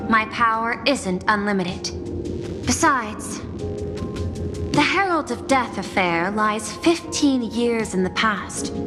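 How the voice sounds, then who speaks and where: Composed, calculating female CEO in reverberant space. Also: a little high. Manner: formal, measured, coolly precise. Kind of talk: dramatic video game voice acting. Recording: long hall reverb, music behind.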